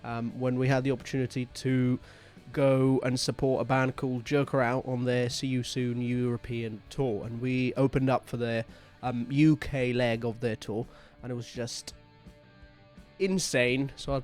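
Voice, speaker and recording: A man with a mid-range voice hosts a casual band podcast. He talks in a chatty way and is quick with jokes and teasing. Faint music plays behind him. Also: medium pitch, level tone, a little mellow. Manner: chatty, joking, quick to tease. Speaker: podcast host and band member. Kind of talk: casual chat.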